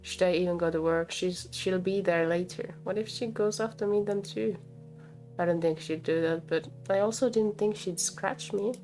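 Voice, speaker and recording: A woman's voice: fairly low pitch, moderate pace, a little mellow. A woman game streamer reading a visual novel aloud expressively and breaking off to comment, with game music behind her.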